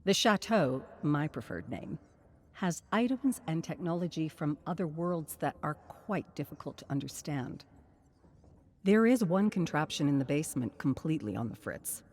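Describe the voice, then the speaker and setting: An actor playing a composed expedition leader who narrates a game journal in a measured, matter-of-fact way. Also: mid-range voice, sing-song. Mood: composed curiosity. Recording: clear noise and ambience behind voice.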